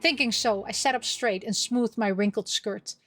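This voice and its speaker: A woman with a fairly high voice hosts a visual novel playthrough. She reads the story text aloud and adds casual remarks. Soft music plays behind her. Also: normal speed. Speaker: gaming channel host playing a visual novel. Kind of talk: reading game text aloud with casual commentary.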